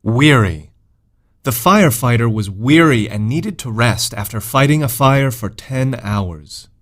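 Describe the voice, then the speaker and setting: A man's voice: mid-range voice, lively intonation, a little slow, a bit bright. A man giving a scripted educational read-aloud of words, definitions and example sentences as a vocabulary narrator.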